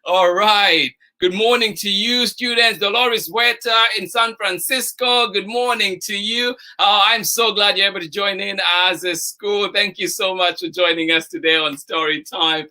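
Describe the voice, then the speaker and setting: A man's voice: high-pitched, normal speed, few pauses, sharp tone. A man hosting a children's story time livestream, greeting viewers by name in an exuberant, effusive manner.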